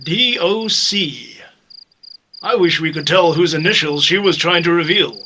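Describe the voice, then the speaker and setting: A bright, fairly high male voice plays an earnest husband under suspicion, acted by a man in an amateur radio murder mystery. His delivery is stagey and sincere, on a slightly noisy home recording. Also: measured pace. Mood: subdued.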